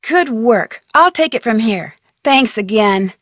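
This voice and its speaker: A woman's voice: a little high, expressive, measured pace, no room sound, clean studio sound. An actress playing a plucky young female amateur detective in an adventure game, talking in an encouraging, insistent, conversational way. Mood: brisk, businesslike. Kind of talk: scripted adventure game dialogue.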